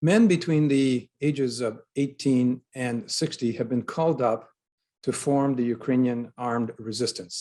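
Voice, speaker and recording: A male interviewer reads scripted questions in a formal, measured manner. His voice has a mellow, darker tone at a middling pitch.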